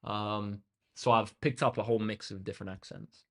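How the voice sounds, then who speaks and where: A man's voice: fairly low pitch, moderate pace. Laid-back young man chatting. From England. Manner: relaxed and chatty, with frequent ums and uhs. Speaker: maths tutor hosting a livestream. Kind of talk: casual chat answering live comments. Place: indoor room facing a big window.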